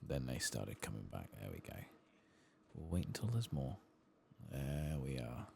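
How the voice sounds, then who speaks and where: Soft spoken guy doing asmr gaming, really deep voice w vocal fry, mutters to himself, bit of background noise. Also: very animated, moderate pace. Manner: murmuring to himself, understated. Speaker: ASMR game streamer. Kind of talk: soft-spoken and whispered ASMR gameplay commentary.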